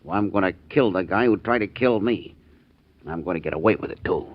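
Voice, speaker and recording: An actor playing a vengeful male deep-sea diver in a 1940s radio drama, speaking bluntly. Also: fast. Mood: grim. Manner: blunt, hard-edged. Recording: muffled old radio with faint hiss.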